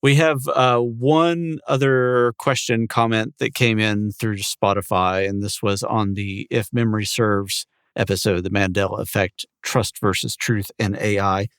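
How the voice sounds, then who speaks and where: A man's voice: lowish voice, steady tone, a little slow, few pauses, fairly bright tone, clean tone, no room sound, studio quality. A man co-hosting a podcast, talking in a casual, conversational style with his partner. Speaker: podcast co-host.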